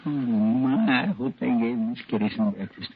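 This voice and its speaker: A man's voice: mid-range voice, moderate pace, close to an everyday voice. Folksy, anxious deputy on noisy old radio, acted by a man. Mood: uneasy, grim. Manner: folksy, earnest, nervous, deferential. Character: loyal, anxious, folksy male deputy sidekick in the Old West. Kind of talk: old-time radio western acting. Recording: dull, hissy vintage radio recording.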